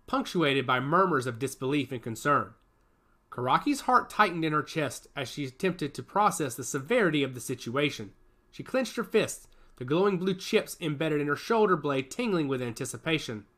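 A man's voice: fairly high pitch, very animated, moderate pace, bright, forceful tone. A man narrating a story in a dramatic storytelling cadence.

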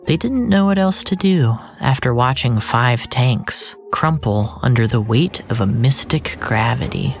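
Slow, hushed fiction narrator over music. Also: mid-range voice, lively intonation. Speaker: storyteller narrator. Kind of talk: literary first-person audio fiction narration.

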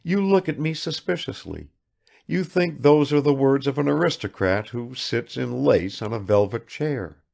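A man's voice: mid-range voice, expressive, normal speed, creaky, darkish tone, voice pushed up. A man voicing a proud, world-weary young aristocratic woman in a languid, searching manner for an audiobook. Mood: unhappy, proud. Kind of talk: dramatised literary audiobook dialogue.

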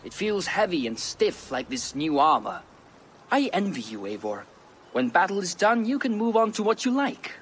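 A high-voiced young lord. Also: male voice, sing-song, moderate pace, a bit bright, slight background noise. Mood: candid. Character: eager young Norse-Irish lord, deferential. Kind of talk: dramatic video game cutscene acting.

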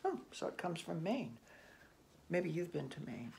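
An older reader with a gravelly, creaky voice shares a picture book with children. The delivery is gentle and unhurried, with soft musing asides. There is faint noise in the small room. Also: mid-range voice, big pitch swings. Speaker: children's read-aloud host. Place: small room indoors at home.

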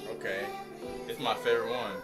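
A young man co-hosting a music reaction video in a bedroom, rambling in a relaxed way with lots of "you feel me.". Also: medium pitch, quick pace. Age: young adult. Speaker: reaction channel co-host. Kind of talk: casual reaction chat. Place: bedroom, indoors.

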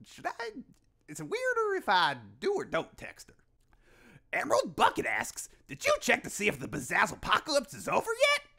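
A man voicing an eccentric, excitable old hillbilly inventor for a cartoon, folksy and erratic. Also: extremely high pitch, normal speed, vocal fry, exaggerated voice. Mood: excitable, awkward. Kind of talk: cartoon character acting.

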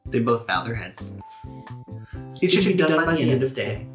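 Male game master, mid-pitched, improvised tabletop narration with music underneath. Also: very animated, normal speed, fairly bright tone.

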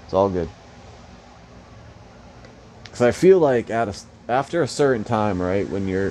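A man's voice: mid-range voice, background noise. A young man casually commenting on a mobile fighting game, rambling and swearing with joking asides.

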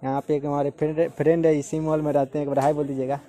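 A man's voice: a little high, level tone, quick pace, warm tone. A man in his twenties with an eastern Uttar Pradesh accent, chatting casually as a vlogger showing viewers around a shop. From India. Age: 20s. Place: large indoor clothing store. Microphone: handheld phone or camera at arm's length. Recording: busy shop chatter behind voice.

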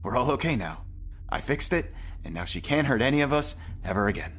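A man's voice: medium pitch, sing-song, fairly quick, natural voice. Man acting an unstable man, noisy setting. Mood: unhinged calm. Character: unstable, menacing man aboard a spaceship. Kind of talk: science fiction audio drama. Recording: noisy ambience.

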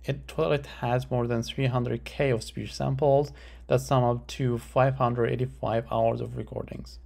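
Gravelly male research presenter. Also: mid-range voice, darkish tone. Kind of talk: scripted academic presentation.

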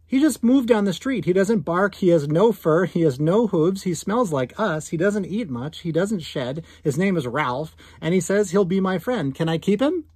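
A man's voice: high-pitched, animated, normal speed, hardly any pauses, a little mellow, slightly rough, no echo, pitched above the performer's own voice. A man voicing an eager, lonely little boy pleading for a pet in a storybook. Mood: hopeful pleading. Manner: pleading, earnest.

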